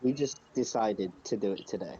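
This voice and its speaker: A man's voice: fairly low pitch, normal speed, mellow, breathy edge. Man on dull lo-fi mic, casual chat. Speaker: podcast co-host. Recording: dull, lo-fi microphone sound.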